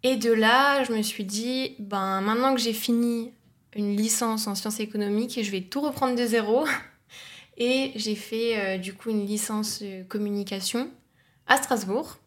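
A woman's voice: fairly high pitch, steady tone, a little slow. A young woman in her twenties, a guest in a podcast interview, talking at length about her path with many fillers. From near the Luxembourg border, France. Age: young adult. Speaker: guest, agency co-founder.

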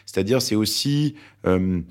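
Very deep-voiced man, hesitant. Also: unhurried, darkish tone, clean tone. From Lebanon. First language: Arabic. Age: 40s. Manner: thoughtful, hesitant with many fillers. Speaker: journalist guest. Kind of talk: interview conversation.

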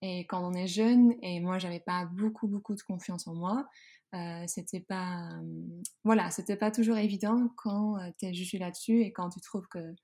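A woman's voice: lowish voice, fairly even intonation, normal speed, dark tone. A woman from the Netherlands speaking French with a Dutch accent, telling her story as a podcast guest, hesitant with many fillers and restarts. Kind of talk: podcast interview, personal story.

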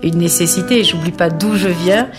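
A woman in her thirties with a lowish voice speaks about her life in a reflective, unhurried way. She searches for words, often pausing on fillers. Soft instrumental music plays faintly underneath. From French Guiana. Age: 30s. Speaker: interviewee. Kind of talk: reflective personal testimony.

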